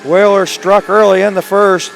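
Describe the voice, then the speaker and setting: A male play-by-play announcer with a raspy, hoarse voice calling a live hockey game from an arena booth, quick and rising to excited calls on scoring chances. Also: sits high, slight background noise. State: hoarse from days of calling games.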